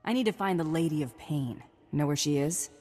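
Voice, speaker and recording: A woman's voice: low voice, a little fast, warm tone, long reverb tail, faint music behind. A woman playing a tough, dryly confident bounty hunter in a video game, speaking in a terse, businesslike way. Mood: cool, dry.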